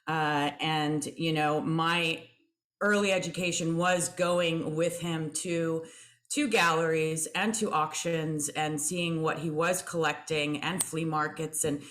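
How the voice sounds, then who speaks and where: A woman's voice: low voice, a bit bright. A middle-aged woman from Belgium, an artist guest answering interview questions thoughtfully with many fillers and restarts.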